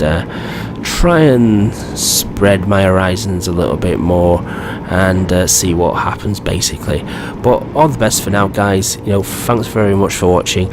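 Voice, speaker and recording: A man with a hoarse, croaky voice from a cold, giving rambling, opinionated commentary in a casual vlog. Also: sits low, background noise. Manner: rambling, repeats "do you know what I mean". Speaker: gaming video creator.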